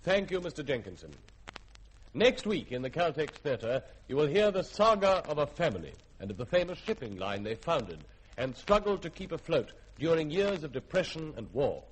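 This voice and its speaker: A man's voice: a little high, sing-song, normal speed, slight background noise, poor audio. A man announcing as a formal, theatrical radio compere in 1950s style.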